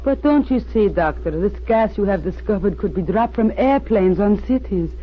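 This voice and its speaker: An actress playing a crafty, beautiful spy posing as an earnest peace campaigner, urgent and persuasive in old-time radio style. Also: female voice, medium pitch, lively intonation, moderate pace, close to an everyday voice. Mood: urgent persuasion. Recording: tinny, noisy old radio recording.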